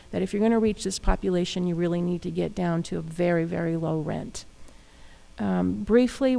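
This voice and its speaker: A woman official giving formal, halting testimony about programs at a public hearing. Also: medium pitch, gravelly, mellow. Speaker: state housing official. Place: government hearing room.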